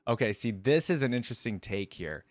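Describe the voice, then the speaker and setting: A man's voice: medium pitch, expressive, fairly quick, no room sound. A man giving reflective reaction commentary and reading game lore aloud in a casual style.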